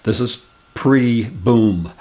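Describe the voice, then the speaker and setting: A man's voice: medium pitch, dark tone. Older man telling stories. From United States. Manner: rambling, reminiscing, unhurried anecdotes. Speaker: comedian and channel host. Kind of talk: casual storytelling to camera.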